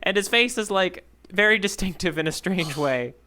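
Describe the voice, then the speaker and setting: A man's voice: sits high, expressive, normal speed, bright, forceful tone. A man co-hosting a podcast in casual conversation, reflective and rephrasing his thoughts as he goes. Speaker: podcast co-host.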